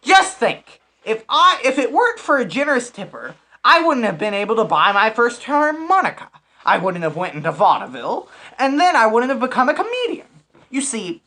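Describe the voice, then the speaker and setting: Lady doing a vain cheapskate radio host guy, swoops all over, sharp bright voice, exasperated. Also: a little high. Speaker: master of ceremonies. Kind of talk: old-time radio comedy acting.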